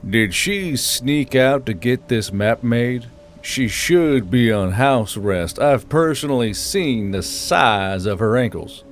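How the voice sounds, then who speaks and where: A man's voice: mid-range voice, expressive, slow pace, faint music behind. A man voicing a terse, weary and irritable male war leader in a fantasy audiobook. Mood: annoyed. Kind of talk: audiobook character acting.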